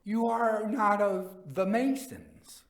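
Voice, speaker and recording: A man's voice: high voice, expressive, takes it slowly, reverberant, far from the mic, pitched above the performer's own voice. A man voicing a proud, stubborn drunk wine lover with exclamatory bravado in a gothic story reading. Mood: incredulous.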